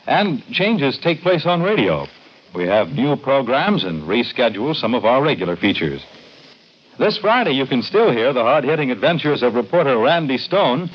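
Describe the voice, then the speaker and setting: A man reading scripted network announcements in the polished style of 1950s radio. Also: medium pitch. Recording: muffled vintage radio recording.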